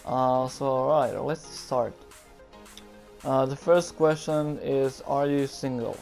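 Hesitant young Croatian-accented man, gravelly. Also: medium pitch, fairly even intonation, slow, darkish tone, soft background music. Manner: hesitant, many ums and likes, nervous. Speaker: gaming YouTuber. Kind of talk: casual Q and A over gameplay footage.

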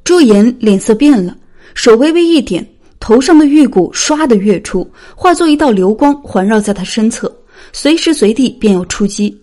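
Woman reading a dramatic audiobook, kinda high voice, really mellow tone. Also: animated, normal speed. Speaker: audiobook narrator. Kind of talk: dramatic scripted story narration.

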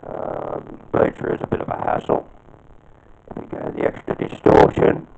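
An Australian man giving an informal, halting workbench demonstration as an electronics hobbyist. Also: dark tone, highs cut off, slight background noise. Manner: halting, hesitant delivery. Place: indoor workbench.

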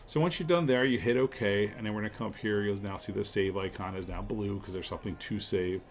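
A man hosting a casual software tutorial, explaining informally step by step. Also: lowish voice, quick pace. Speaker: tutorial host, telecom technician.

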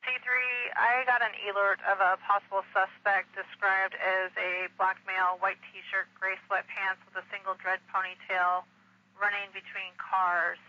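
A man's voice: sits high, little pitch movement, unhurried, bright, forceful tone. An American man working as a police dispatcher, speaking in terse, procedural phrases over a radio. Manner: clipped, procedural. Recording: muffled scanner radio, faint static.

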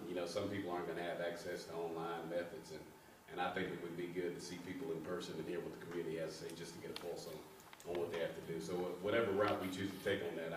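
An earnest man makes his point at a board meeting, measured and full of ums. His voice is very low and flat. It reaches a distant camera through a large echoey room. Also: slight background noise. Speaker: school board member. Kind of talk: meeting discussion. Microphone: far camera.

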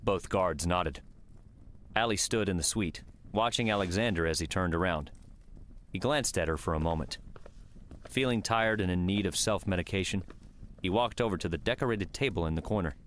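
A man's voice: low voice, moderate pace, slight background noise. A man narrating a scripted story in the third person as an audiobook reader.

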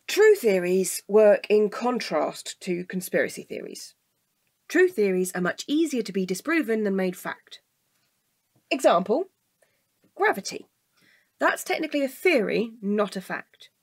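An actress playing a pedantic, logical, wearily patient woman with a precise, deadpan manner in a comedic audio drama. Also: medium pitch, unhurried, pauses often, slightly rough. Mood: lecturing.

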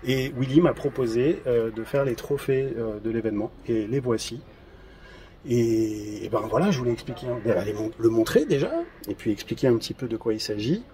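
A man in his thirties presenting trophies outdoors in a garden, in a relaxed, hesitant casual chat with many fillers. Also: medium pitch, fairly quick. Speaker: event organizer presenting trophies.

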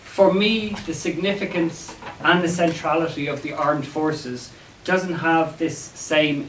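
A man with an Irish accent presenting an academic paper in a measured, slightly hesitant way to a seminar room. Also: fairly high pitch. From Ireland. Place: wood-panelled seminar room, indoors. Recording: echoey room, distant mic, background noise.

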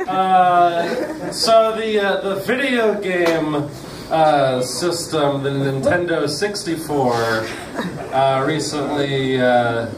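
Halting deadpan young comedian, distant club recording. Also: male voice, a little high. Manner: halting, full of uh's, deadpan one-liners. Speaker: stand-up comedian. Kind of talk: deadpan stand-up set. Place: small comedy club stage. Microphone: stand mic, filmed from the back of the room. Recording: roomy distant club recording with loud crowd noise.

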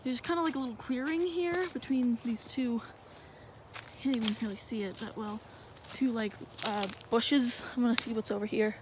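A woman's voice: a little high, fairly even intonation, normal speed. Young woman rambling on a night walk. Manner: rambling, hesitant, lots of fillers. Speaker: vlogger. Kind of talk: handheld night walk vlog. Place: outdoors in a dark wooded marsh at night. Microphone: phone held in hand. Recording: noisy outdoor phone recording.

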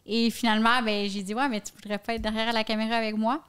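A woman's voice: a little high, flat delivery, quick pace, fairly bright tone, clean tone. A woman podcast guest speaking in a giggly, upbeat way in a small studio. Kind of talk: casual chat.

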